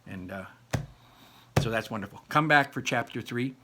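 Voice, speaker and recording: A man's voice: medium pitch, lively intonation, normal speed, noisy background. A man reading a children's story aloud and commenting informally, in an earnest, encouraging way.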